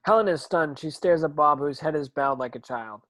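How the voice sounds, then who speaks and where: A man's voice: fairly high pitch, moderate pace, fairly bright tone, no room sound. A man narrating screen action from a script as a stage directions reader, now and then stumbling over words.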